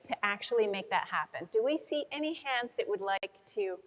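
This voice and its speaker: A woman's voice: medium pitch, animated, normal speed. A woman hosting a live fundraising event, addressing the audience over a PA in an echoey hall. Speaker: event host, chiropractor.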